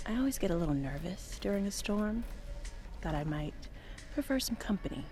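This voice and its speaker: A woman's voice: mid-range voice, lively intonation, brisk, dark tone, soft background music, close to an everyday voice. A woman acting a sociable, teasing hotel guest in an audio drama. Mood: coy, friendly.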